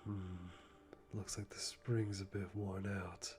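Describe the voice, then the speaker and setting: A man playing a calm, methodical hitman, musing to himself softly and deliberately with dry amusement in an ASMR roleplay. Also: low-pitched, a little hiss or hum. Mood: calm, calculating. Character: calm, methodical male hitman planning a kill. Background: music.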